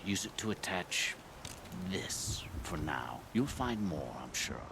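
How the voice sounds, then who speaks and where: Grave male warrior-mystic for a game cutscene: very low, unhurried, intense swings, deliberate delivery. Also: very animated, gravelly, noisy background, exaggerated voice. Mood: solemn, fervent. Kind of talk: video game cutscene acting.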